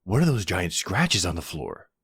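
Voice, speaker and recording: A man's voice: sits low, very animated, moderate pace, fairly bright tone, slightly rough, dry recording, studio quality, heavily acted. A man acting as a worried, insistent boyfriend in a roleplay audio drama, speaking in an earnest, pleading, exasperated way. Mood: stunned.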